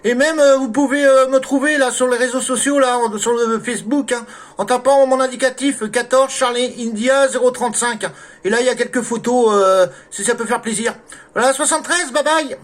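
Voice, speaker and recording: A man with a high voice talks as an amateur radio operator. His style is casual and conversational, and he often closes his phrases with short tag words. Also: moderate pace. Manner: frequent "hein" and "là" tags. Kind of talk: casual radio contact chat. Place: at home radio station. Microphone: desk microphone through a mixer.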